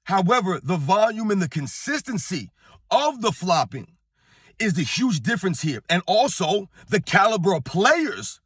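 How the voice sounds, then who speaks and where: A middle-aged man hosting his own sports channel, talking straight to the viewers in a hoarse, strained voice. He talks emphatically and keeps circling back to his point. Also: sits high, a bit bright, dry recording, studio quality. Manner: emphatic, repetitive, addresses viewers as guys. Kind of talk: casual talking-head rant. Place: on vacation at a beach.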